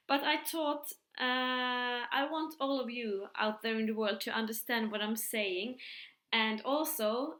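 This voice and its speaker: A middle-aged woman speaking English with a Finland-Swedish accent, her voice bright and fairly high. She chats casually and hesitantly, with many fillers, and the room around her is echoey. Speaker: podcast host. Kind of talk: casual chat. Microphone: computer mic.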